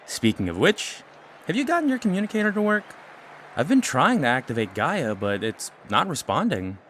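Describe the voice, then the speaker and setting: A man playing an incredulous, questioning teenage high school boy in an audio drama. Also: sing-song, normal speed, fairly bright tone, slight background noise. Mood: puzzled.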